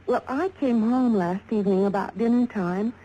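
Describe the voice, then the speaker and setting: An actress playing a shaken but scornful young fashion model in a 1950s radio drama, with hesitant, dramatic pauses. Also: female voice, a little high, dark tone, lightly acted. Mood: shaken, subdued. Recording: faintly noisy vintage radio recording.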